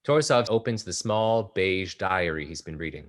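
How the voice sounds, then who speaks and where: A man reading stage directions aloud at a play table read, plain and steady. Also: low voice, normal speed.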